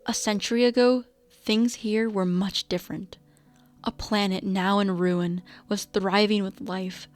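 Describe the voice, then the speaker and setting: A woman's voice: medium pitch, vocal fry. A woman reading scripted narration for a radio drama as the storyteller.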